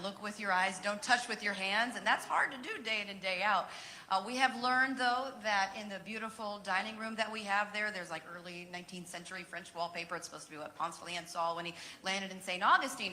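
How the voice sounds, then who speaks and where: A hoarse woman in her thirties telling chatty, humorous family stories to a crowd at a campaign event. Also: mid-range voice, sharp tone, a little hiss or hum. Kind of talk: casual storytelling to a crowd.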